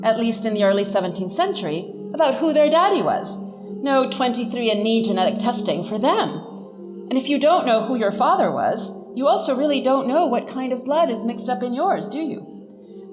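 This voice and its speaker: A woman giving a scripted academic lecture as a professor, with a wry, emphatic manner. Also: on the high side. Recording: reverberant hall, music underneath.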